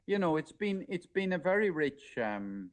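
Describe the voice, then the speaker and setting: A man's voice: sits high, sing-song, normal speed. A middle-aged man talking casually as a podcast guest, reflective and hesitant, with a self-deprecating way of putting things, speaking into a mobile phone. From Ireland. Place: remote conversation over a podcast app on a mobile.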